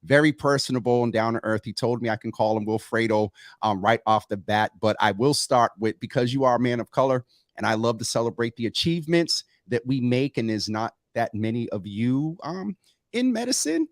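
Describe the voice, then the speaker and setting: A man hosting a morning health talk show, introducing a guest with a lively, swooping delivery. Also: medium pitch, a little fast, no echo, studio quality. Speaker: host.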